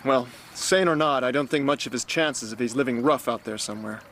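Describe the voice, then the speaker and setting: An actor playing a brisk, plain-spoken young heir in a radio drama, with a bluff, direct, informal manner. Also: male voice, mid-range voice, fairly bright tone, close to an everyday voice. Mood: indignant, blunt. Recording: outdoor ambience noise, vehicle sounds.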